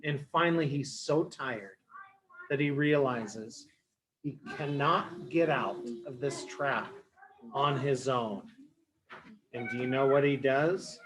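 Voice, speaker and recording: Man, early-years storyteller, unhurried, mid-pitched, simple explanatory delivery, dramatic pauses. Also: noisy background. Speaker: storyteller, early education teacher. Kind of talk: children's story retelling with word explanations.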